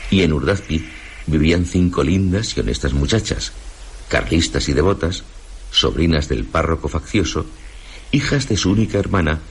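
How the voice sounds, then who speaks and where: Very deep-voiced Castilian man narrating solemnly. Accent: Castilian Spanish. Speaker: radio drama narrator. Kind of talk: literary scripted narration for radio theatre. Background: prominent music.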